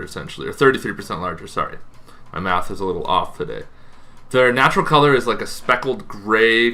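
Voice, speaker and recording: A man's voice: mid-range voice, bright, forceful tone. A man explaining tabletop game monsters in a casual, semi-improvised way, correcting himself and adding informal asides as he goes. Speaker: host of a tabletop gaming channel.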